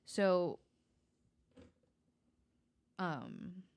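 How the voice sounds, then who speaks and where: A woman guest on a podcast, casually recounting a case in conversation, often pausing mid-thought. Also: lowish voice, wildly swooping pitch, gravelly, a little mellow. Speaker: podcast guest telling a true-crime case.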